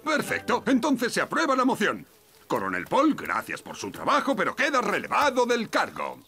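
Grumpy, wildly animated cartoon beetle. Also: male voice, high voice, moderate pace, music in the background, heavily acted. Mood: pompous, official. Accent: Castilian Spanish. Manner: pompous, indignant, formal speech-making. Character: grumpy, self-important adult male dung beetle. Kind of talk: animated children's cartoon dub.

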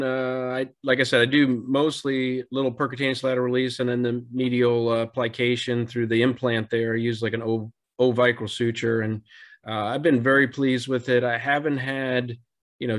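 A man on a video call, a surgeon explaining his approach in a relaxed way, with many "you know" and "kinda" fillers. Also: mid-range voice. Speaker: surgeon panelist. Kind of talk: clinical explanation. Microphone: video call webcam.

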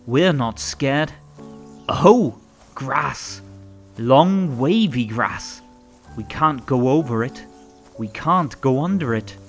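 A man reading a children's story aloud in a theatrical, rhythmic and expressive way. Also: mid-range voice, takes it slowly. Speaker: storybook narrator. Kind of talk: animated children's read-aloud. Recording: music under the voice. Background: music.

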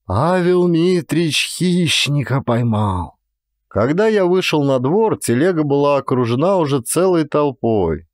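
A man reading a literary story as an audiobook narrator, in a dramatic, expressive style. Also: medium pitch, dry recording, clean studio sound.

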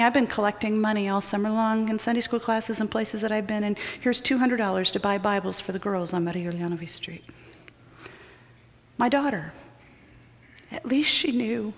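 A middle-aged woman preaching a sermon built on personal stories, shifting between loud urgency and hushed near-whispers. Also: mid-range voice, moderate pace. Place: large church sanctuary with choir loft. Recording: long hall reverb of a large sanctuary.

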